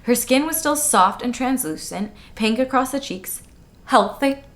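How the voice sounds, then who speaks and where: A woman's voice: high voice, animated, unhurried. A woman co-hosting a comedic book read-along, moving between reading passages and playful, sarcastic riffs with acted-out quotes. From United States, lived in Washington State. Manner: playful, sarcastic, acts out quotes in mock voices. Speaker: podcast co-host.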